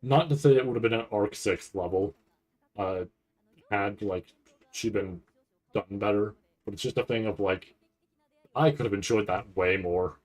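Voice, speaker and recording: A man giving casual, rambling live commentary as a streamer, halting and restarting often as he thinks aloud. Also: on the low side, rough recording. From Canada. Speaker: streamer reacting to a live anime event.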